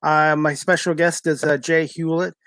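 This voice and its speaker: A man's voice: a little high, little pitch movement, normal speed, a bit bright, no room sound. Canadian guy hosting a live show, chill, lots of uhs, dry close sound. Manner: easygoing, lots of "uh" fillers. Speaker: talk show host. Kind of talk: casual live show chat.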